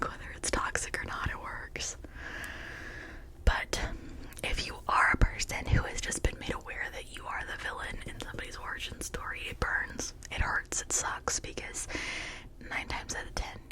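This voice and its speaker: A woman speaking in a breathy whisper, rambling informally in a chatty ASMR style. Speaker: ASMR creator showing and unboxing toys.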